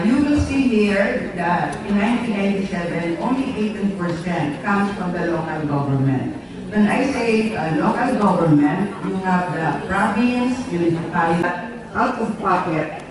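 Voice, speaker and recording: A woman with a Filipino accent gives a conference talk, stopping often on fillers as she lectures. She sounds distant from the microphone in a strongly echoing hall. An audience murmurs behind her. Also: medium pitch. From Philippines. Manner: lecturing with frequent filler pauses. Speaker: conference speaker. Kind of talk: conference presentation. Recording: echoey hall from far off, audience noise.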